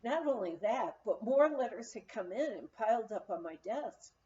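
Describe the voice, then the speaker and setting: Woman narrating a memoir, kinda high lively voice, mellow tone, slightly muffled recording. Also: normal speed. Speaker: audiobook reader.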